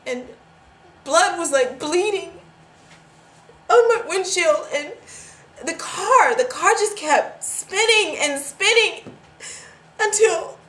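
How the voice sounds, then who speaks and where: Actress as slow, halting, guilt-ridden woman, echoey room. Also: fairly high pitch. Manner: halting, repeating herself. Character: guilt-ridden woman confessing a long-hidden fatal accident. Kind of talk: dramatic audition monologue. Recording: echoey room with clear background noise.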